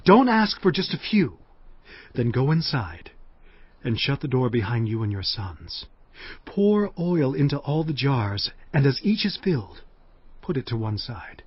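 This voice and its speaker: A man plays an earnest prophet with a husky, lively voice that rises and falls as he gives solemn instructions. The audio is dull and dry, with music underneath. He sounds commanding and deliberate. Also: mid-range voice, no echo. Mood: instructing. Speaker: prophet character. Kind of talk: dramatized audio scripture.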